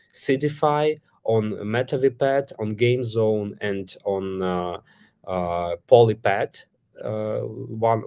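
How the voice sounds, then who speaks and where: Halting, lower-voiced man from Ukraine. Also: a little mellow. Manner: halting, many fillers and restarts. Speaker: company CEO hosting a Q&A. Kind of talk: live online Q&A answering questions.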